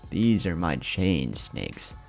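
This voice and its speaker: Man playing sadistic story villain, gleeful menace, deep gravelly voice, boastful. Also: very animated, slow, faint music behind, full character voice. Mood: menacing glee. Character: crazed sadistic male knife-wielding killer, gleeful.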